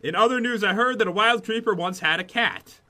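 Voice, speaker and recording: A man hosting a gaming video with casual, playful commentary in an excitable, teasing manner. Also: high-pitched, fairly quick.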